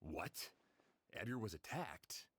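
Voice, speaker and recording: A man's voice: lowish voice, big pitch swings, slow pace, fairly bright tone, breathy edge, no echo, exaggerated voice. A man playing an earnest, firm town sheriff in a fantasy video game. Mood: shocked.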